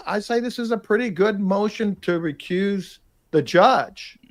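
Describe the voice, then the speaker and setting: A man's voice: fairly high pitch, dry recording. A man giving unscripted legal analysis as a panelist in a discussion.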